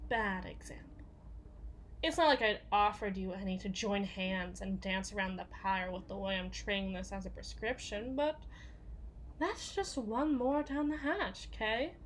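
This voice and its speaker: Over clear background noise comes a woman acting out a tough henchwoman and bodyguard in an ASMR roleplay. She takes her time, speaking at a middling pitch in a slangy drawl. Her manner is sardonic, guarded and foul-mouthed. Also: unhurried, lightly acted, poor audio. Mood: defensive, brittle. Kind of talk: ASMR roleplay, acted monologue.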